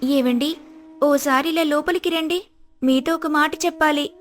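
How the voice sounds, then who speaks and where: A woman voicing a kind, polite poor village wife in a moral story, respectful and soft-hearted. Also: high-pitched, steady tone, measured pace, faint music behind. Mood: worried, caring. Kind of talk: storybook dialogue acting.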